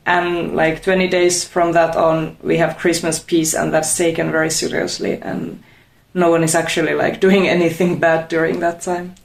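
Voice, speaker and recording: A woman's voice: low-pitched, level tone, normal speed, creaky. A woman in her twenties with a Finnish accent, giving casual interview answers. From Finland. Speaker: interviewed volunteer. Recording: echoey room.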